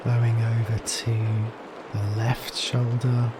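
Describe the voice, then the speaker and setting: A man leading a guided meditation in a gentle, soothing manner, leaving long pauses between phrases. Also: mid-range voice, over loud music. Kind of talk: guided Yoga Nidra meditation. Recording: steady rain noise behind voice. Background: rain sounds.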